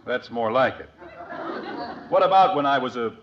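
A man's voice: medium pitch, expressive, moderate pace, crowd noise, natural voice. An actor playing a kindly, teasing middle-aged father in an old radio comedy, with a wry, mock-formal manner. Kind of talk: 1940s-50s radio sitcom acting. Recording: dull, hissy old radio recording.

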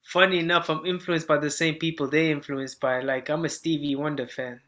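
A man's voice: fairly high pitch, level tone, a little fast, a bit bright. A man from Zimbabwe, a musician guest on a podcast, talking in an easygoing, friendly way and laughing often. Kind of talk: casual interview chat.

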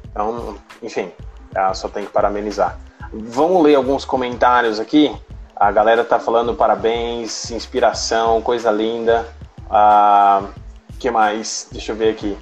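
A host and English teacher chatting on a live stream, encouraging and slipping in English words. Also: medium pitch. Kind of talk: casual live interview chat.

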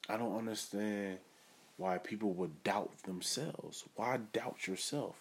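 A man's voice: fairly low pitch, slow, slightly rough. A man hosting his own podcast, giving an earnest, self-assured motivational monologue. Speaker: podcast host.

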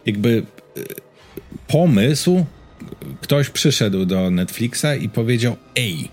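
A man's voice: medium pitch, animated, takes it slowly, a little mellow, soft background music. A man chatting casually as a podcast co-host about games and series, in an informal, slangy, rambling storytelling way.

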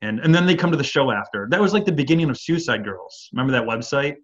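A man's voice: mid-range voice, animated, quick pace, dull audio. Nasal Michigan man telling band stories. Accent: Midwestern US, Michigan. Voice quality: slightly nasal, as if with a cold. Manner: rambling, storytelling, many fillers. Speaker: band singer and guitarist, guest. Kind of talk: casual interview chat.

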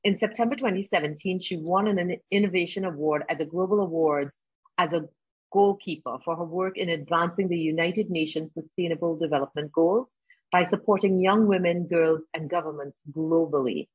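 A woman hosts a podcast in a husky, slightly low voice. Her delivery is polished, as if read from a script. The sound is dry and dull, a lo-fi recording. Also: moderate pace, no echo. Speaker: podcast host. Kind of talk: podcast interview.

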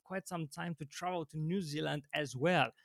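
A man hosts a chat, his voice a bit higher than usual with a gravelly edge. He speaks eagerly but haltingly, often breaking off and starting again. The recording is close and dry. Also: no room sound. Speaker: YouTube channel host. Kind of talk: casual interview.

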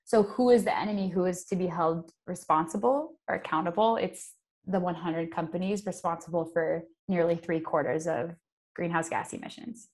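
A woman's voice: lowish voice, steady tone, normal speed, a little mellow. A woman speaks as a guest on a casual podcast. She explains in an earnest, emphatic way and presses her points home. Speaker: guest, environmental advocate.

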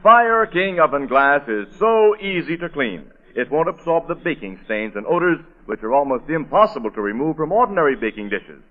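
Animated high-pitched American man, vintage radio announcer. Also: moderate pace, few pauses. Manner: polished, emphatic. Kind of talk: 1940s radio announcing, scripted sponsor read. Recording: tinny old radio broadcast, faint music.

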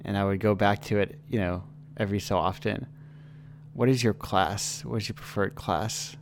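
A young male podcast host with a fairly low voice leads a relaxed conversation over a call. He talks easily and at length, circling around his questions in a chatty, informal way. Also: moderate pace, slight background noise. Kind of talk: casual chat over a call.

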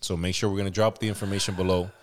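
A man hosts a casual podcast and keeps the interview moving with slangy, hyped questions. His voice sits a bit low. He swears freely and keeps the tone loose. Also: little pitch movement, rapid-fire, slight background noise. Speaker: podcast host.

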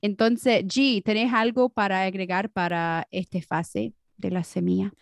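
A woman co-hosting a podcast in a relaxed, unhurried way, now and then slipping into English. Her voice sounds close and dry, with no room around it. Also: medium pitch, creaky, dry recording. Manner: relaxed, mixes in English words, laughs often. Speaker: podcast co-host. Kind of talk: casual chat.

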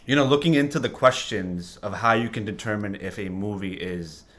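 A man with a somewhat low voice co-hosts a podcast. He is casual and informal, piecing his questions together in halting phrases. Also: fairly bright tone. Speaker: podcast co-host. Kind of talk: casual chat.

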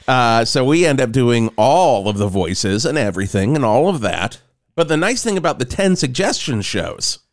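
A man's voice: a little high, no room sound, studio quality. A man co-hosting a comedy podcast, chatting casually and riffing with jokes and silly bits. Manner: jokey, riffing, slips into silly voices. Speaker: podcast co-host.

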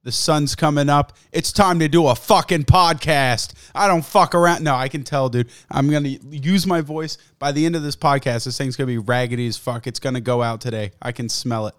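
A man's voice: mid-range voice, fairly even intonation, a little fast, hardly any pauses, clear, resonant tone, no echo, clean studio sound. A young man hosting his own podcast, rambling and cursing casually while sick and losing his voice. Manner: profane, rambling, self-mocking. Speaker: podcast host. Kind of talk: casual solo podcast chat. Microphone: studio mic, close.

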